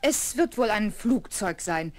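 A woman playing a kindly, patient governess in a children's radio play, affectionate and gently teasing as she reassures her charge. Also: medium pitch, lively intonation, measured pace, close to an everyday voice. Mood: reassuring. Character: kindly, patient young governess of a little girl. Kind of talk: 1970s German children's radio play acting.

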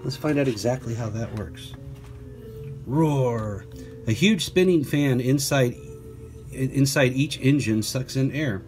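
Casting: male storyteller, mid-pitched, deliberate children's read-aloud with chatty asides and playful questions, music underneath. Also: a little mellow. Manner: clear, deliberate reading with playful questions to young listeners. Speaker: teacher reading a picture book aloud.

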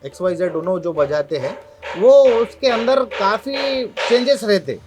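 A man's voice: high-pitched, lively intonation, moderate pace, background noise. A man with a Hyderabadi accent explaining his craft casually in an interview, often circling back over his points. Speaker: tabla maker and shopkeeper, interviewee.

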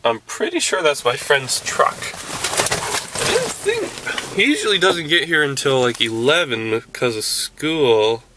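A man's voice: fairly high pitch, big pitch swings, measured pace, noisy background. Nasal young man rambling in a truck. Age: young adult. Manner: rambling, chatty, loses his train of thought. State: nasal from a cold. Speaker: vlogger. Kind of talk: rambling casual vlog while driving. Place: inside a pickup truck while driving. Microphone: handheld camera at arm's length.

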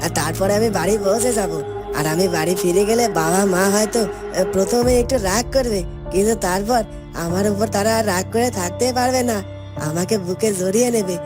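A woman plays a homesick young man in a fairytale cartoon. The voice is mid-pitched and plaintive, musing to himself, with clear music behind it. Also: fairly quick, lightly acted. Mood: wistful. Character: homesick, hurt young husband trudging home. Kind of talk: children's fairytale cartoon voice acting. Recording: music under the voice.

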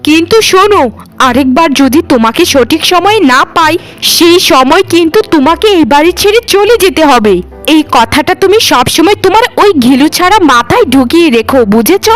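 A haughty, scolding mistress of a big house in an animated tale, her voice pitched high over light music. A woman acts her out with impatient, commanding delivery. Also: moderate pace. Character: stern wealthy widow mistress of a house. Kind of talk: cartoon voice acting.

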